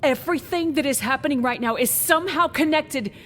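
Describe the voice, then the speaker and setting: A woman's voice: high-pitched, fairly quick, fairly bright tone. An actress playing a distraught, insistent woman doctor warning townspeople in a video game drama. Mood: pleading. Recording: echoey hall with background noise.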